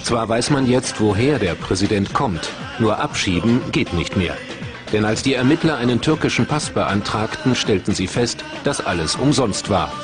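A man reading the scripted narration of a television documentary in an even, measured way. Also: fairly low pitch, over music.

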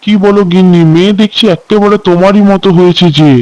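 A man's voice: high voice, level tone, quick pace, a little mellow, smooth, dry recording, close to an everyday voice, rough recording. A man playing a kindly, doting village father in a children's cartoon, chatty and affectionate. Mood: tender. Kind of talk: Bengali children's cartoon story dialogue.

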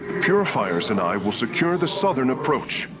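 An actor playing a dutiful alien engineer in a video game, formal, precise and earnest. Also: male voice, medium pitch, sing-song, moderate pace, noisy background, natural voice. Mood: dutiful, concerned. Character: earnest, dutiful male alien engineer reporting to his leader. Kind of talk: dramatic video game voice acting. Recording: voice under very loud game music.

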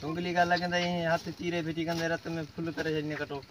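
A man's voice: on the high side, level tone, brisk, noisy background. A man chatting matter-of-factly about prices at an outdoor village stall, filmed on a handheld camera. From Sindh, Pakistan. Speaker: village vlogger. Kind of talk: casual chat while shopping.

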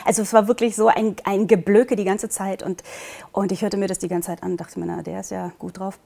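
A woman's voice: mid-range voice, level tone, brisk, creaky, very noisy. A woman in her thirties from Portugal, talking casually and chattily about herself as an actress introducing herself to camera. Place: indoor studio with dark backdrop. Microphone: lapel mic.

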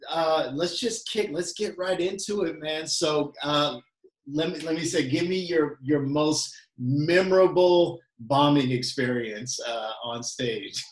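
A man's voice: on the high side, slow. A man hosting a casual interview in an encouraging way, recorded in an echoing studio. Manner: encouraging, frequent affirmations. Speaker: comedy interview show host. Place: indoor home-built studio with brick wall. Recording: roomy echo, music behind.

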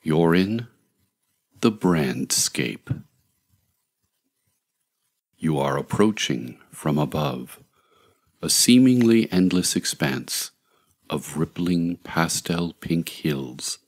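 A man narrating a scripted guided meditation in a measured, soothing, deliberate way, with long pauses. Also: a bass voice, expressive.

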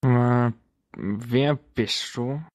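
A man voicing a distrustful mother from the slums in a tabletop game, blunt, rude and halting. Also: medium pitch, a little mellow, no room sound, studio quality. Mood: suspicious.

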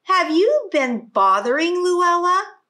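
An exasperated farm mother of many children, put on by a woman reading a storybook. Her high voice is raised, firm and insistent. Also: big pitch swings, takes it slowly, bright, forceful tone, exaggerated voice.

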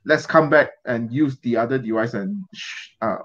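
A middle-aged man with a Malaysian accent, casually hosting a livestream interview in a halting way. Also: medium pitch, a bit bright. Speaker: host. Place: indoor room, on a video call. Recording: dull, lo-fi video-call audio.

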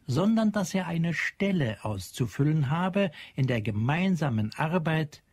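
A man narrating a German radio play as literary storyteller, solemn and measured. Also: medium pitch, darkish tone, breathy edge, no echo, clean studio sound.

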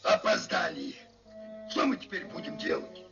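A man voicing a dim, whiny tomcat sidekick crook with a gravelly voice in an animated film. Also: moderate pace, over music, close to an everyday voice. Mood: dim, fretful. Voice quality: creaky, gravelly. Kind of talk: theatrical animated film voice acting. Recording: dull old film soundtrack.

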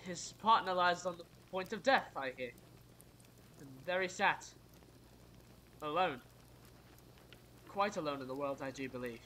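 A young man as a high-voiced, genial Victorian husband. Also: lively intonation, measured pace, long pauses, bright, forceful tone, a little hiss or hum, low-quality recording. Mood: pitying. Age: teenage. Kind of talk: radio drama acting.

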